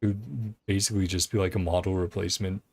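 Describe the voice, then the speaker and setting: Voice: low-pitched, steady tone, quick pace, vocal fry, darkish tone, slightly rough. A streamer giving casual, chatty commentary while playing a game, sounding easily amused. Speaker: livestream host playing a game. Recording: game music behind the voice. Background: video game music.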